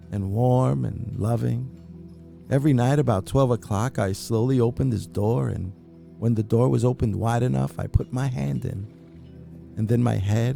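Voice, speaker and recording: A man with a deep, dark, gravelly voice reads a classic horror tale aloud. His delivery is brooding and intense, sinking to near-whispers and then climbing again. Faint music plays underneath. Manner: intense, brooding delivery with sudden hushed drops and rising outbursts. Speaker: reader. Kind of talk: dramatic reading of a classic horror story.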